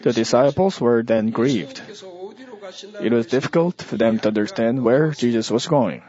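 A man delivering a church sermon in short, measured phrases, as an interpreter would. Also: medium pitch, warm tone. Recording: dull lo-fi audio.

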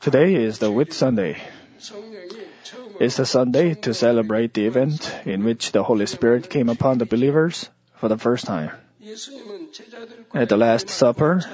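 A man delivering a church sermon in short, measured phrases, as an interpreter would. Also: mid-range voice, a little mellow. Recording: dull lo-fi audio.

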